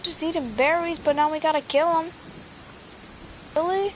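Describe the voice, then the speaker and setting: A woman's voice: high-pitched, steady tone, moderate pace, a little hiss or hum. A woman streamer giving laid-back, joking commentary over a video game. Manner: laid-back, teasing slang. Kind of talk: casual gameplay commentary.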